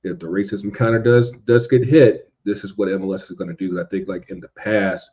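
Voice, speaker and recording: A man chatting casually as a podcast guest, halting and circling back over his phrases. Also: lowish voice, fairly even intonation, mellow, dull audio. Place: indoors at home in a city.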